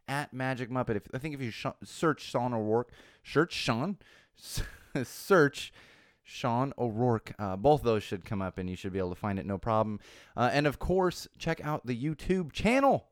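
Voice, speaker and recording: Raspy-voiced man hosting a podcast. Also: medium pitch, lively intonation, moderate pace, no echo, studio quality. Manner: rambling, repeats words, self-corrects. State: losing his voice, a bit raspy. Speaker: podcast host. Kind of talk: casual solo podcast intro with plugs. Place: small podcast studio. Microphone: studio microphone.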